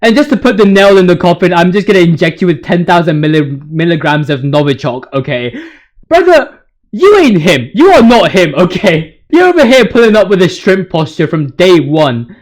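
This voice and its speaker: A man's voice: high voice, expressive, normal speed. A man giving casual, slangy video game commentary in a ranting, hyperbolic, joking way, swearing freely. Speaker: video game commentator ranking boss voice lines.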